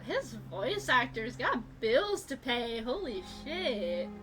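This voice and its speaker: A woman's voice: on the high side, sing-song, slow pace. Casual woman streaming a game. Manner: informal, slangy, reactive. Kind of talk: casual live game commentary. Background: game music.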